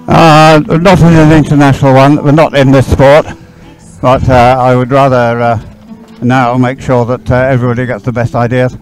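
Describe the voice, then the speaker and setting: Male sports commentator, medium pitch, explanatory and halting, faint music behind him. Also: little pitch movement, poor audio. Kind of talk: live explanatory race commentary.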